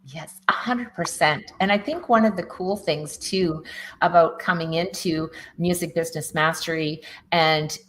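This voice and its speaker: A woman's voice: lowish voice, unhurried, fairly bright tone, a little hiss or hum. A woman from Canada hosting a casual interview, upbeat and enthusiastic as she promotes her event. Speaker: host.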